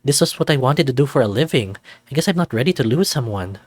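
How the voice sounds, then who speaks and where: A man playing an anxious, soft-hearted young student in a visual novel, hesitant and confiding. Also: medium pitch, lively intonation, fast, dry recording, voice pushed up, lightly acted. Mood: troubled.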